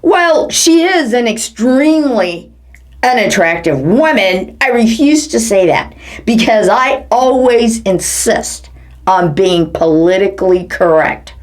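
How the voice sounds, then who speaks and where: Theatrical woman with wildly swinging pitch. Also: expressive, takes it slowly. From United States. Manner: theatrical, with sudden swings between low mutters and high exclamations. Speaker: performance artist. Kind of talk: satirical dramatic reading of quotes. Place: home living room.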